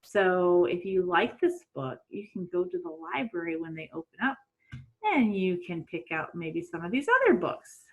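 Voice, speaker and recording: A middle-aged woman, a teacher doing an expressive storytime for children and putting questions to young listeners. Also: fairly low pitch, moderate pace, phone-quality sound. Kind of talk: read-aloud storytime for children. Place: small room at home.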